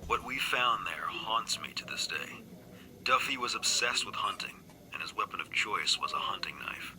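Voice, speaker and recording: An actor playing a grim, haunted police investigator, giving a grave, measured case briefing in a video game. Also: male voice, fairly low pitch, animated, sharp tone, slight background noise, full character voice, rough recording.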